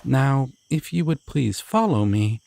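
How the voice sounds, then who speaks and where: A man playing a courteous, formal deity of dreams in an audio roleplay, speaking to the listener with measured, theatrical pauses. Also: medium pitch, big pitch swings, vocal fry, darkish tone, dry recording. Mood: wry, gentle. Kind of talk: immersive roleplay addressed to the listener.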